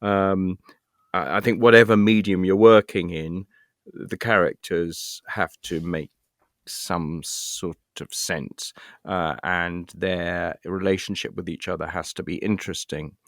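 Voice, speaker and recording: A man's voice: low-pitched, steady tone, measured pace, fairly bright tone, no room sound, studio quality. A middle-aged British man chatting casually as an interview guest, self-deprecating and thinking aloud as he rambles. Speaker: guest, actor and writer.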